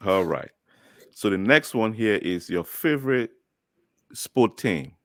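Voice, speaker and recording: A man's voice: lowish voice, animated, slow pace, fairly bright tone, no echo, clean studio sound. A man from Liberia hosting a podcast interview, chatty and friendly, laughing easily as he asks questions. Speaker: host.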